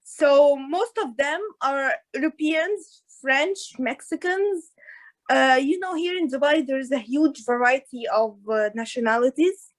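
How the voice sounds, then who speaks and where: A woman, an orthodontist guest talking in a casual interview over a video call, with hesitant pauses and restarts. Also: sits high. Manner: hesitant, many restarts and fillers. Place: in Dubai.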